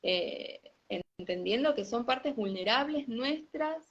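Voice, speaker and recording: A woman, a somatic yoga teacher and guest, speaking calmly and in a measured way with hesitant pauses. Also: on the high side. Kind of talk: reflective conversation. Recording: dull lo-fi audio.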